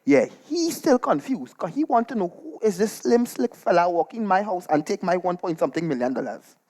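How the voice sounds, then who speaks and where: A man with a Trinidadian accent performing a comic, gossipy monologue on stage in dialect with animated, dramatic delivery. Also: high voice, fairly quick. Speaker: storytelling performer. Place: live on stage at a performance venue.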